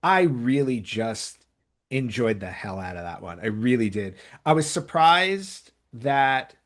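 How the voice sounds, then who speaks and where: A man's voice: medium pitch, sing-song, a little slow. Casual man hosting a podcast. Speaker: podcast host. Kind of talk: casual chat, countdown commentary.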